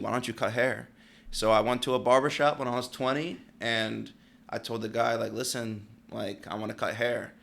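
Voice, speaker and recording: Brief: gravelly young barber from Pennsylvania, low and flat, easygoing storyteller. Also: male voice. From Pennsylvania, United States. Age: late 20s. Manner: laid-back storyteller, leans on "like" and "you know". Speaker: barber and podcast guest. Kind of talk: casual interview chat.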